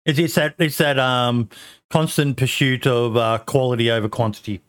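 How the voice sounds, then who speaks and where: A man's voice: medium pitch, fairly even intonation, moderate pace, fairly bright tone. A man from Australia hosting a casual podcast chat, talking with many ums and restarts. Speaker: podcast host.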